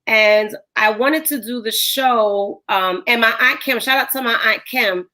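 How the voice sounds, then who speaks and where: Hoarse woman podcast host, loose chatty delivery with lots of fillers, a little higher voice. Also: measured pace, pushed and bright. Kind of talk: casual chat.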